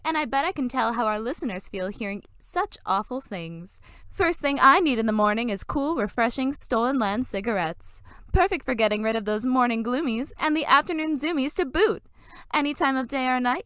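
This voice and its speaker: Woman acting smooth, high-voiced radio pitchwoman. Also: expressive, moderate pace, bright, forceful tone, no echo, clean studio sound. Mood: peppy. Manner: polished, peppy sales talk. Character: upbeat old-time radio cigarette pitchwoman. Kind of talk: parody 1940s radio advertisement.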